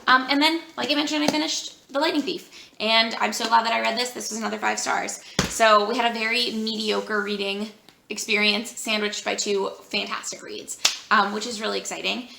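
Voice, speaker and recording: A woman vlogger talking casually about books she read, rambling with lots of fillers and a voice that is going hoarse. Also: medium pitch, reverberant, noisy background. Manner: chatty, rambling with many fillers. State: losing her voice. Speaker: book vlogger. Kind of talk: casual vlog chat.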